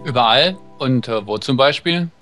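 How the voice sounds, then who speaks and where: A man's voice: mid-range voice, animated, normal speed, a bit bright, natural voice. A man reading the part of an awkward young driver who narrates a visual novel, over music. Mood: curious, uneasy. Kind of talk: visual novel read aloud.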